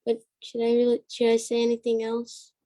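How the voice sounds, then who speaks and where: A woman's voice: fairly high pitch, monotone, a little fast, mellow. A female stage actress in an interview, giving brief answers in a hesitant, reserved way.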